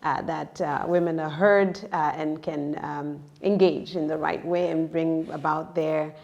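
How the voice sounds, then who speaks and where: A woman born in Senegal and raised in the United States, speaking reflectively as a podcast guest, with halting, thoughtful phrasing. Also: low-pitched, steady tone, creaky, darkish tone, muffled recording. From born in Senegal, grew up in the United States. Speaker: interview guest, entrepreneur. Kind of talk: reflective personal podcast conversation.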